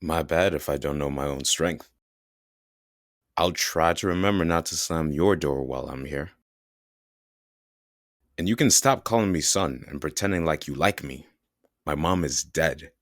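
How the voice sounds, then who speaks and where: A man voicing a bitter young son in an audio drama, sarcastic and quick to curse. His low voice is recorded dry and close. He sounds resentful and sullen throughout. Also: no room sound.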